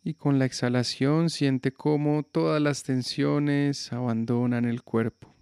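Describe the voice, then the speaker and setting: Slow, calm man guiding relaxation. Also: mid-range voice, clear, resonant tone, no room sound. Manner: slow, calm, soothing instructions. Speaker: yoga nidra guide. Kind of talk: guided relaxation meditation.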